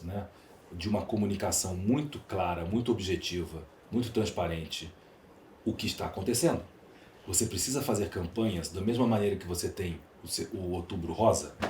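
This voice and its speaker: A man's voice: low voice, a little mellow. Emphatic Brazilian man in a roomy space. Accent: Brazilian Portuguese. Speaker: podcast guest, entrepreneur. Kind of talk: opinionated interview talk. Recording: roomy echo, faint background noise.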